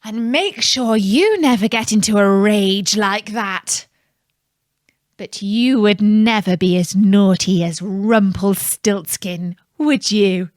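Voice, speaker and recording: A woman narrating a fairytale for children in a calm, measured, scripted storytelling style. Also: mid-range voice, no echo, clean studio sound.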